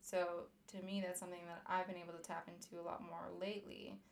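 Soft-spoken woman with a gravelly edge. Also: lowish voice, little pitch movement, fast talker, a little hiss or hum. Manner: hesitant, many "um"s, stumbles over words. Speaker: podcast co-host. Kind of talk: casual podcast chat.

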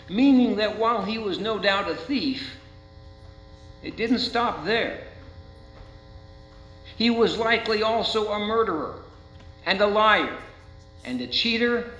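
High-pitched American preacher man, roomy sound. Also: pushed and bright. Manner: rhetorical questions, deliberate dramatic emphasis. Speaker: Lutheran pastor preaching. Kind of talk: sermon. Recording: roomy, muffled, with faint mains hum.

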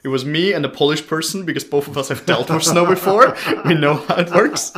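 A man's voice: a little high, fairly quick, a bit bright. Austrian man with an irish-tinged r, chatting. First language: German. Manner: informal, rambling, dryly humorous. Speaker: interview guest. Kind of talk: casual chat, telling life story.

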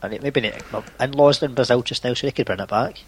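A Scottish man with a middle-pitched voice chats on a podcast. He throws in short, teasing comments. A faint noise sits under his audio. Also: fast talker. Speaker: podcast co-host. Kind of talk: casual chat.

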